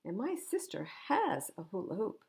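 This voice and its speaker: A woman's voice: mid-range voice, huge pitch swings, moderate pace, vocal fry, a little mellow. A woman voicing a blunt, picky young girl shopper in a storybook. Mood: picky, curious.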